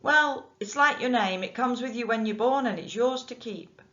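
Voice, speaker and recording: Woman doing kindly bargeman, chatty, folksy, loud, dull recording. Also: mid-range voice, expressive, a little slow, pitched above the performer's own voice. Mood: friendly. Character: kindly odd-accented man, a bargeman.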